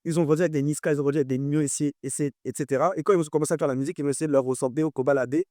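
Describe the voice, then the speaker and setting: A young man with a French accent talks in a relaxed, mellow tone, his voice set a little higher than most men's. He leans on repeated phrases to drive his points home. The sound is close and dry. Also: very fast, no room sound. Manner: animated, repeats phrases for emphasis. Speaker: guest artist and producer. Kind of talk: casual interview chat.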